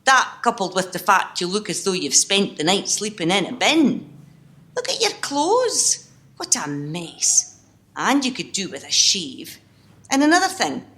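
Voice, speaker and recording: A woman playing a feisty, sceptical but kind-hearted working woman in an amateur radio play, brisk and incredulous in manner. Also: medium pitch, very animated, fairly bright tone. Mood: scolding.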